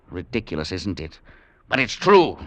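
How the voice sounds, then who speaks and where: A nervous, stammering professor. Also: male voice, low voice, sing-song, unhurried, no room sound, exaggerated voice. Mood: anxious. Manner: stammering, agitated. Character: nervous, haunted middle-aged male professor. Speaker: professor and scientist. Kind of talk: 1940s radio acting.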